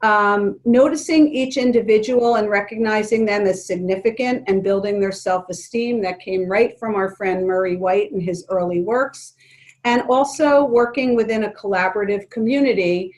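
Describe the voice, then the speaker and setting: A woman leading an online workshop for educators, speaking calmly and gently with frequent ums. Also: medium pitch, normal speed, poor audio. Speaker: presenter leading an educators' forum. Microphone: video call.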